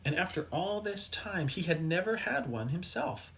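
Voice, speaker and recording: A mid-pitched storybook reader delivers a children's tale with animated, swooping intonation. The reading is expressive, with dramatic pauses for young listeners, and faint noise sits behind the voice. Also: creaky.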